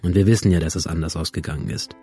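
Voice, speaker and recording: A withdrawn, bitter young man in a radio drama, telling his story in a low, mellow voice. He is understated and matter-of-fact, with a slightly husky edge and a close, dry sound. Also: fairly even intonation, fast talker. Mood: matter-of-fact, guarded. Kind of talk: radio drama, introspective first-person narration.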